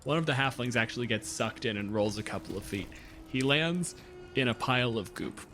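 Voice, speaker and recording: A man acts as game master on a podcast, talking at a middle pitch. He is casual and swears freely as he narrates the game and rulings, with some music behind him. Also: expressive, a little fast, sharp tone. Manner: profane, casual.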